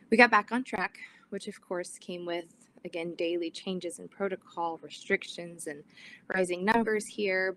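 A woman's voice: on the low side, fairly bright tone, slight background noise. A young woman on a video call, telling her story earnestly as a nursing student on a panel, with some fillers. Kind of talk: panel talk.

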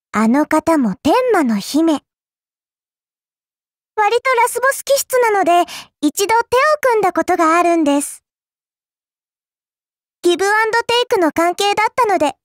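Small cute spirit girl for an anime game: extremely high pitch, sly and teasing, polite but smug, dry studio sound. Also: no echo. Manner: polite, coy, teasing, cutesy. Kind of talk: anime game character voice lines.

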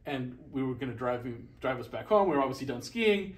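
A man's voice: medium pitch, expressive, fast talker. A middle-aged man with a North American accent chatting and telling stories casually, in a joking, matter-of-fact way, a few feet from the camera in a garage workshop. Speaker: vlogger and workshop tinkerer. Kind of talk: casual storytelling and chat. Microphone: camera a few feet away.

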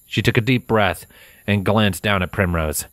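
A man with a deep voice reads fiction as a narrator. His delivery is scripted and dramatic, recorded dry and close. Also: normal speed, fairly bright tone, dry recording.

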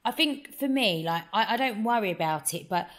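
A woman, co-hosting a podcast from home, telling stories in an animated, chatty way full of "like"s. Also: mid-range voice, normal speed. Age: 30s. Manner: chatty, animated storytelling with many "like"s and "honestly"s. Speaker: podcast co-host. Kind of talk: casual chat. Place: home, on a video call.

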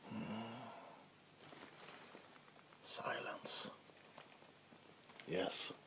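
A man playing a menacing, smug vampire king in a soft-spoken ASMR roleplay, slow and taunting with mock politeness. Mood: smug, commanding. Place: small indoor room. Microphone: close mic.

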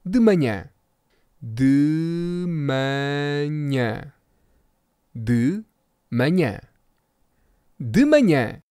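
A speaker with a European Portuguese accent, slowly repeating phrases word by word for learners. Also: medium pitch, big pitch swings, warm tone, smooth, no room sound, clean studio sound. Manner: slow, carefully articulated word-by-word repetition. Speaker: language teacher. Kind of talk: slow repetition drill for learners.

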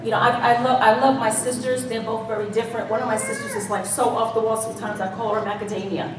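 A woman's voice: on the high side, quick pace, background noise. A middle-aged American woman preaching a conversational sermon in a folksy, emphatic way, addressing the congregation directly. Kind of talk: animated conversational sermon with call-and-response. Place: church stage, indoors, before a congregation. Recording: echoey hall, distant mic, audience murmur.

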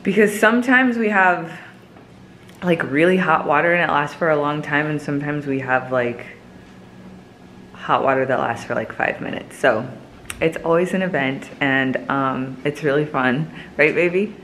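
A chatty woman with a slightly low voice talks casually to the camera at home, rambling and laughing. A faint hiss sits under her voice. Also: normal speed, a bit bright. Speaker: vlogger. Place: home kitchen indoors.

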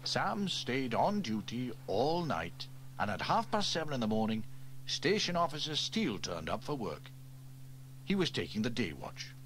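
A man narrating a children's audio story in an expressive, animated read-aloud manner. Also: medium pitch, moderate pace, slight background noise, low-quality recording.

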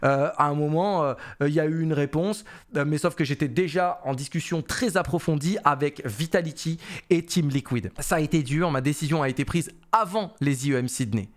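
A man, casually commentating on esports and paraphrasing an interview in an animated, colloquial, repetitive way into a close studio mic. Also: fairly high pitch, a little fast. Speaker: esports commentator and content creator. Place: small home studio room indoors. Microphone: close boom-arm studio microphone.

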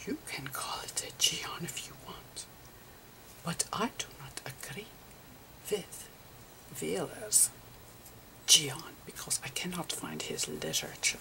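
Female ASMR lecturer: breathy, mostly whispered, halting phrases, lowish voice that swings up and down. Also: wildly swooping pitch, rough recording. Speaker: ASMR performer playing a physicist. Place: close over a chalkboard on a table indoors.

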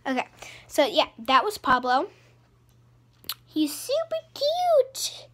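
Female child vlogger, high-pitched and unhurried, informal home-tour talk, noisy handheld phone audio. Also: huge pitch swings, fairly bright tone. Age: child, about 10 to 12. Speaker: amateur vlogger. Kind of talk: casual home tour vlog chat. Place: inside a house, moving from room to room. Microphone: handheld phone at arm's length.

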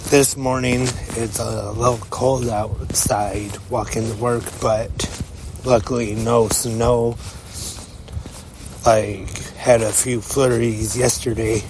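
A man's voice: mid-range voice, background noise. A man hosting a solo wrestling podcast, talking with a stuffed-up nose from a cold. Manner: slow, measured and even, with pauses. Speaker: podcast host. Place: walking to work outdoors.

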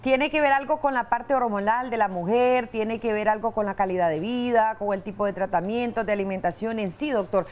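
A woman's voice: on the high side, a little fast, few pauses, a little mellow. A woman in her thirties with a Nicaraguan accent, hosting a TV studio show and asking courteous questions. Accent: Nicaraguan Spanish. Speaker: TV host. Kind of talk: morning TV hosting.

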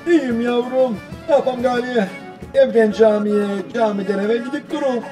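Old turkish grandpa from a cartoon, denizli accent, really high voice, folksy n doting, music behind. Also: male voice, fairly even intonation, moderate pace. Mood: doting, fond. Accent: Denizli, Aegean Turkish. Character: affectionate old village grandfather, folksy and playful. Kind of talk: cartoon voice acting.

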